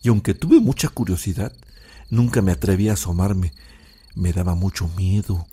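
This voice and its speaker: An actor playing a gentle old Mexican village grandfather who soothingly tells ghost legends in an audio drama. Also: deep voice, animated, normal speed, slightly rough. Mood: nostalgic storytelling. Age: older.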